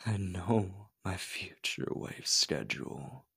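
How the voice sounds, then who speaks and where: A man's voice: low voice, lively intonation, slow, gravelly, sharp tone, slightly rough, heavily acted. A man playing a possessive, obsessive stalker in an ASMR roleplay, menacing and coaxing, often dropping to a whisper. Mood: sinister, teasing. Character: possessive, obsessive male stalker hunting his beloved.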